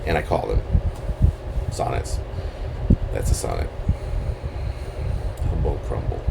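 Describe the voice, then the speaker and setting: A man's voice: a bass voice, big pitch swings, takes it slowly, vocal fry, very noisy. A man talking casually as a poet about his own work, in a digressive, hesitant, self-deprecating way. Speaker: poet introducing and reading own poem. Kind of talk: rambling casual talk around a poem reading.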